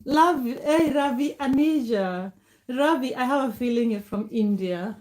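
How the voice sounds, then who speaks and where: A woman's voice: high-pitched, expressive, unhurried, darkish tone. A middle-aged woman from Kenya with a Kenyan accent, a native Kikuyu speaker, chatting casually on a livestream, greeting viewers by name and thanking them. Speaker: livestream host. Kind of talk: casual live chat reading comments.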